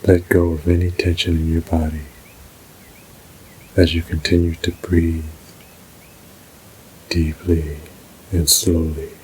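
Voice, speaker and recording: A man narrating a scripted guided meditation in a soothing, measured, unhurried way. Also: sits low, level tone, darkish tone, a little hiss or hum, poor audio.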